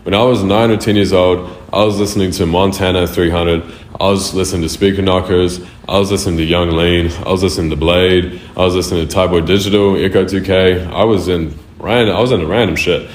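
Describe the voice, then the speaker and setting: Young New male artist, very deep, swears freely, echoey room. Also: steady tone, a little fast, a little hiss or hum. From New Zealand. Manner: loose, slangy and profane. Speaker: music artist. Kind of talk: casual answers to interview questions. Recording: roomy echo.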